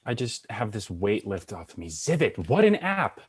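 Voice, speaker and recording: A man's voice: lowish voice, big pitch swings, measured pace, breathy edge, heavily acted. A man playing a dry, businesslike friend in a naturalistic comedy table read.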